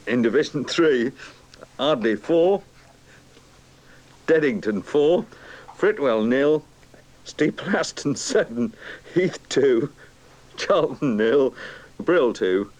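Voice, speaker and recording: Slow, measured British results reader. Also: male voice, mid-range voice, expressive, a little mellow. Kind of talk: reading football results live.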